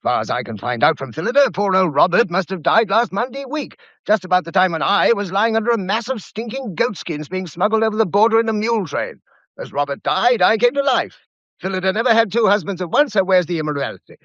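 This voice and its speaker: A forceful, stubborn adventurer in a period radio drama. His voice is fairly high, brusque and declamatory. The recording is close and dry, slightly dull. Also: lively intonation, fast, dry recording.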